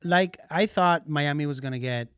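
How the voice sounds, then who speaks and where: A man co-hosting a soccer podcast in casual chat, excitable and repetitive, dropping Spanish words into his English. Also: on the high side, moderate pace, clear, resonant tone, no echo, clean studio sound. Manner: excitable, repeats himself, mixes Spanish into English. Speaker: podcast co-host.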